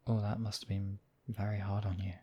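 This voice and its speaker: A man playing a demon king in an intimate ASMR roleplay, husky and theatrical, with deliberate phrasing and lingering pauses. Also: fairly low pitch, flat delivery, dark tone. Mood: tender, concerned. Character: demon king, menacing captor act turning tender, devoted lover.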